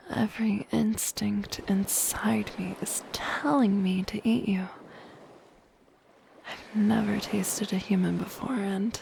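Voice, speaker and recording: A woman voicing a lonely, curious, softly menacing siren in a breathy, whispered, gently lilting ASMR roleplay. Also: mid-range voice, a little hiss or hum. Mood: quietly predatory. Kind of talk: soft-spoken ASMR roleplay.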